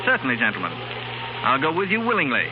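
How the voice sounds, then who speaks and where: A man's voice: mid-range voice, huge pitch swings, quick pace, very noisy. Urgent young male fugitive, muffled recording. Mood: bitter, defiant. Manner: earnest, pleading. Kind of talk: 1930s radio drama acting. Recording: muffled 1930s radio recording, music behind.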